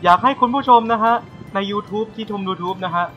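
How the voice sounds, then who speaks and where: A man's voice: high-pitched, moderate pace, bright, forceful tone, lo-fi sound, faint music behind. A man hosting a casual live podcast, bantering and often restarting his phrases. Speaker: podcast host.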